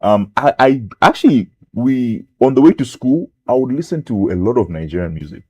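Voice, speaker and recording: A man's voice: medium pitch, animated, a little fast, darkish tone, husky. A young man from Zambia, a rapper guest on a talk show, rambling casually with lots of fillers and restarts.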